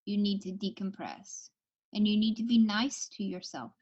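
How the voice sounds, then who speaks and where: A woman hosting a call-in tarot show, giving reassuring advice in a relaxed, chatty way. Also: mid-range voice, moderate pace, slightly rough, muffled recording. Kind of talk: casual advice chat.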